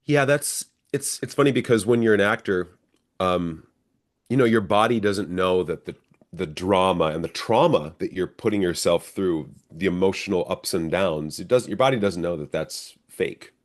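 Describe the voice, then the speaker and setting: Low-voiced American man telling stories. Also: moderate pace. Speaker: stage actor being interviewed. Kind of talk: casual interview storytelling.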